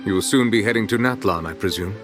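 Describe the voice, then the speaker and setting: An actor playing a solemn, dignified water dragon who serves as chief judge, speaking formally and eloquently in a video game cutscene. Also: male voice, lowish voice, quick pace, close to an everyday voice. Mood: composed, resolute. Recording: music behind the voice.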